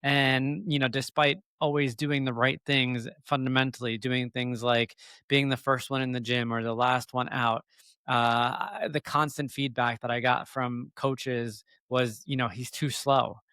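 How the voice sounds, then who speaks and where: Male podcast guest, middle-aged, smooth clear voice, earnest reflective storyteller, measured with pauses, dry sound. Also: mid-range voice, level tone, sharp tone, no room sound. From born in Egypt, emigrated as a child. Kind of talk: reflective personal storytelling in an interview.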